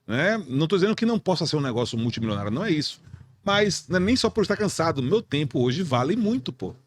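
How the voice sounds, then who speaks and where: A man's voice: on the high side, very animated, fast talker, slight background noise. A man with a Bahian Brazilian accent hosting an informal podcast, speaking in a blunt, slangy, emphatic way. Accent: Bahian Brazilian Portuguese. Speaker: podcast host.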